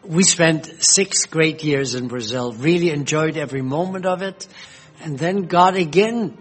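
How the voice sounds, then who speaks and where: Slow-spoken older man reminiscing. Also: fairly high pitch, breathy edge, faint music behind. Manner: slow, gentle storytelling with fillers. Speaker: retired missionary. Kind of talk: reminiscing personal history.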